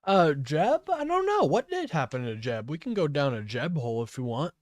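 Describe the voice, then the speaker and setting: A man streaming live, reacting to a clip with blunt, profane, sarcastic commentary and a fairly high voice. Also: big pitch swings, normal speed, no echo. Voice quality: says his voice is high-pitched. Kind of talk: casual political commentary.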